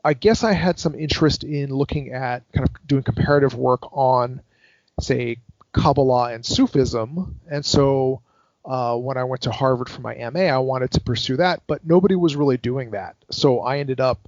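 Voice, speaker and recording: A man's voice: medium pitch, normal speed, a little mellow, dry recording, lo-fi sound. A man in his fifties from Hawaii, a scholar giving a long account of his background in an interview over a call, hesitant and full of fillers and restarts. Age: 50s. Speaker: guest scholar. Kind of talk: academic interview.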